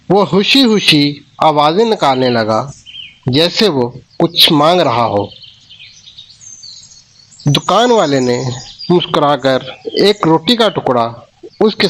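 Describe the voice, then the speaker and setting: A man's voice: a little high, slight background noise. A man narrating a moral cartoon story for children, speaking to them directly. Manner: slow, expressive, addresses children.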